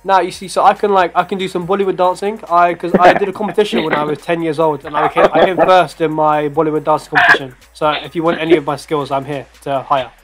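Young male prank-call host, casual delivery, voice a little high, music playing clearly underneath. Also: level tone, quick pace, hardly any pauses. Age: young adult. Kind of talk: casual chat and prank calls. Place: small bedroom, indoors.

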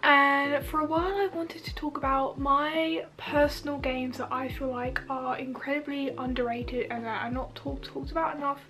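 A woman's voice: high-pitched, steady tone, normal speed, few pauses. A young woman in her bedroom, chatting and gushing about games she loves, her nose stuffy from a cold, with fillers and restarts. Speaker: YouTuber. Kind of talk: casual game recommendations. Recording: music under the voice. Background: soft background music.

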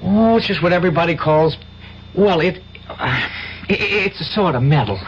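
An actor playing an earnest, hesitant country youngster in a radio drama, stammering and folksy. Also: male voice, on the high side, animated, normal speed, close to an everyday voice. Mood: earnest, worried. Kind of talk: 1970s radio drama acting. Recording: dull old radio recording, faint noise.

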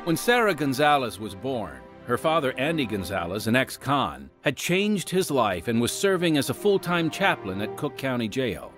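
A man's voice: mid-range voice, big pitch swings, normal speed, hardly any pauses, music in the background. A man hosting and narrating an audio drama in a scripted, theatrical style with folksy asides to listeners. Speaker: host and narrator.

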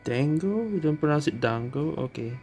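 A man's voice: on the high side, expressive, normal speed. A man giving casual commentary while playing through a video game. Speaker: game streamer. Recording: game music behind voice.

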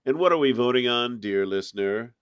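A man's voice: mid-range voice, lively intonation, a little fast, smooth, lo-fi sound. A man hosting a casual panel podcast in a chatty, jokey way with a touch of mock formality. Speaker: podcast host.

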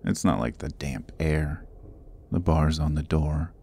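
Casting: male actor as sardonic dungeon rogue for fantasy audio roleplay; extremely low voice, unhurried, wry and teasing. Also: vocal fry, dark tone, breathy edge, exaggerated voice. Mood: teasing, sarcastic. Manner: wry, teasing, often dropping toward a murmur. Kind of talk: ASMR fantasy roleplay acting.

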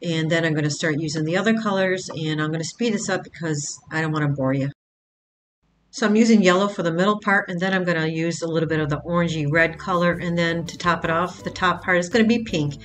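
A woman's voice: lowish voice, quick pace. A woman hosting a craft channel, talking casually step by step through a project in a voice-over, with the odd filler. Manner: plain step-by-step explaining with fillers.

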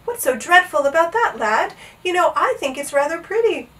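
A woman's voice: very high voice, big pitch swings, moderate pace, fairly bright tone, far from the mic, voice pushed up, exaggerated voice. A woman voicing a vain male captain of the guards in a storybook, pompous, breezy and scoffing. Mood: amused, smug. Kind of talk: animated storybook character voice.

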